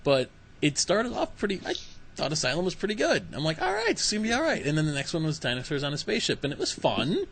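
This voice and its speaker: A man hosting a casual podcast and chatting like an eager fan. His voice sits a little higher than most men's. A clear, steady hiss sits under everything. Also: fast. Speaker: podcast host. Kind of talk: casual chat.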